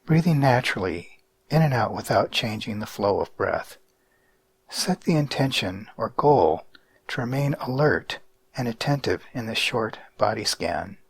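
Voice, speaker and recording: A man guiding a mindfulness meditation in a calm, measured way with deliberate pauses. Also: on the low side, vocal fry, husky. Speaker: meditation guide.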